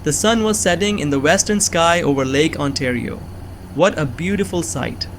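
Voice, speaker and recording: A man's voice: fairly high pitch, moderate pace, slight background noise. A young man giving earnest, scripted first-person narration of a suspense story. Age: young adult.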